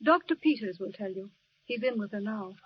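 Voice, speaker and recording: Actress as a brisk, stern hospital nurse. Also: female voice, mid-range voice, lively intonation, a little hiss or hum, close to an everyday voice. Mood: guarded, sober. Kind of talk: 1940s radio drama acting. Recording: muffled vintage radio recording.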